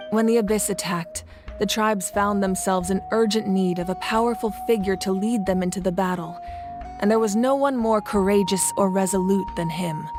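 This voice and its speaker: A calm, thoughtful collector from a video game, played by a woman, who recounts old legends in a measured, reflective tone. Her voice sits in an ordinary middle range. Game music plays behind her. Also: medium pitch, close to an everyday voice. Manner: measured, reflective storytelling with soft laughs. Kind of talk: video game cutscene dialogue.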